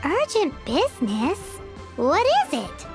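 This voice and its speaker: A woman voicing a tiny, bratty fairy companion in a video game, childlike and squeaky, bubbly and cheeky, exclaiming over music. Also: very animated, slow pace, heavily acted. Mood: inquisitive. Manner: exclamatory, refers to herself in the third person. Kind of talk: video game character acting.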